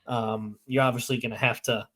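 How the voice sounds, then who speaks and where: A man co-hosting a casual sports podcast, hoarse and losing his voice after being sick, talking in halting bursts full of restarts. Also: mid-range voice, little pitch movement, fairly bright tone. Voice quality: halting, many restarts and fillers. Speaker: podcast co-host.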